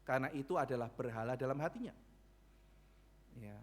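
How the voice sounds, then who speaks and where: A man preaching a sermon as pastor, speaking, asking the congregation rhetorical questions and acting out biblical characters in quick dialogue. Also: medium pitch. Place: church.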